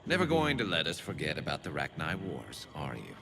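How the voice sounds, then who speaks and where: An actor playing a dry, teasing alien soldier with a gravelly voice in a video game. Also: male voice, rumbling low, huge pitch swings, a little fast, background noise, heavily acted. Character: wry, raspy alien Turian soldier comrade. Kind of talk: video game cutscene acting.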